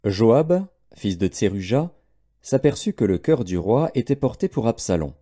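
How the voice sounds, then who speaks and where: A man reading scripture aloud as scripted audiobook narration, with a dark, mellow tone. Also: mid-range voice, big pitch swings, measured pace. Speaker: audiobook Bible reader.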